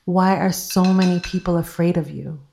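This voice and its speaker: A woman's voice: fairly low pitch, steady tone, normal speed, creaky, dark tone. A woman playing an insistent, scheming girlfriend who presses for secrets in an audio drama. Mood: challenging, insistent.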